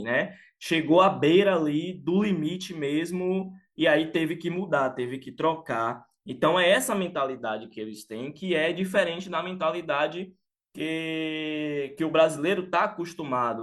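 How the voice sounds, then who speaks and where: A man from Salvador, co-hosting a casual sports podcast and talking with many fillers and restarts. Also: on the high side. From Salvador, Bahia, Brazil. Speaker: podcast co-host.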